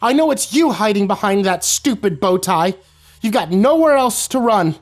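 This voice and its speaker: A man playing a brave, nervous schoolgirl with a lifted, lighter voice. She sounds hesitant and quiet, then sharp and hissing. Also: high-pitched, expressive, moderate pace, voice pushed up. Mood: threatening. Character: nervous but bold schoolgirl.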